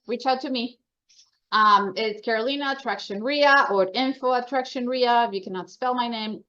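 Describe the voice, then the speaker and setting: The audio is slightly dull and lo-fi. A woman with a Colombian accent and a medium-pitched voice presents announcements to members. Her delivery is informal, chatty and upbeat. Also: a bit bright.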